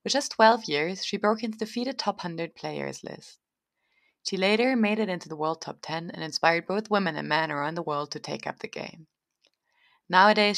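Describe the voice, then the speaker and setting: A woman's voice: on the low side, vocal fry, a bit bright. A woman hosting an interview, introducing her guest and asking questions in a conversational way. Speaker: interview host.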